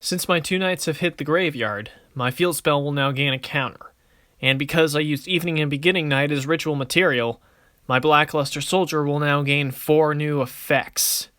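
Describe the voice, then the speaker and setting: A man's voice: on the high side, steady tone, moderate pace, bright, forceful tone, dry recording. A man voicing a confident, wisecracking young card duelist in an anime fan production, casual and theatrical. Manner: casual, wry, theatrical when announcing moves.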